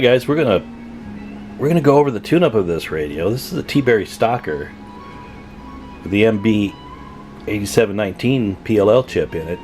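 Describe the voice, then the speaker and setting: Deep-voiced man giving a casual tutorial. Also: a little mellow, a little hiss or hum. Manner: folksy, informal, talking while working. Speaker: radio repair tutorial host. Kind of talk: casual hands-on tutorial narration.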